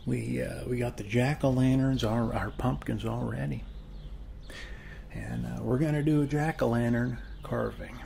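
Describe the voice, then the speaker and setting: A soft-spoken man doing an ASMR roleplay as a friendly neighbor, chatting in a folksy, reassuring, rambling way with hesitant pauses and occasional drops into a whisper. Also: mid-range voice, fairly even intonation, gravelly, slight background noise. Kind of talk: ASMR roleplay, one-sided casual neighborly chat.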